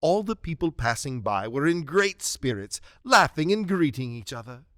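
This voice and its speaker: A man's voice: fairly high pitch, very animated, normal speed, a bit bright, no room sound, clean studio sound. A man narrating a radio drama in a dramatic, scripted storybook style.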